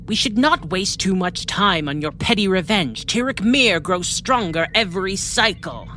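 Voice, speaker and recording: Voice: mid-range voice, expressive, moderate pace, bright, forceful tone. An actor playing a proud, impatient warrior underling in an audio drama, formal and defiant. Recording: faint noise behind voice.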